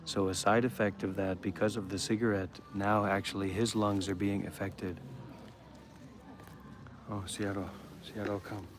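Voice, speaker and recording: A man's voice: on the low side, level tone, unhurried. An American man chatting casually and earnestly on a live stream, rambling from thought to thought. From Maryland, USA. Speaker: live-streamer at a meditation center. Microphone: phone. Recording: clearly noisy live phone stream.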